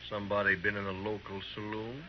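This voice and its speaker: An actor playing a taunting, threatening gunfighter out to kill, in 1950s radio western style. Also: male voice, lowish voice, flat delivery, fairly quick, close to an everyday voice. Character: menacing, taunting gunfighter set on a killing. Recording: dull, noisy old radio recording.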